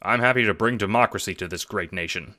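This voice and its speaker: Man playing an earnest, deep-voiced middle-aged father. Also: fast, bright, forceful tone. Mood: resolute. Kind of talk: audio drama acting.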